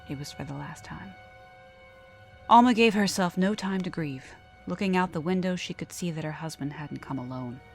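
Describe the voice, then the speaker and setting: Female storyteller, somewhat deep, scripted horror narration, dramatic, measured, background music. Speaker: storyteller and show host.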